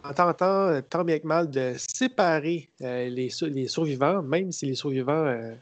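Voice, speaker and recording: A man with a Québécois accent chats on a podcast, recapping and mocking a film with dry sarcasm. He rambles and halts as he goes. His voice sits a little high, and the recording is close and dry. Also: no room sound. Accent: Québécois French. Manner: sarcastic, rambling, halting storyteller. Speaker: podcast co-host. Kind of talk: casual podcast chat about films.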